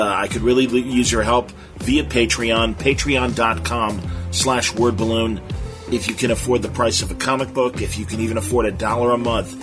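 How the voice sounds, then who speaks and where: Male podcast host over clear music. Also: lowish voice. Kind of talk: informal podcast announcements and sponsor plugs.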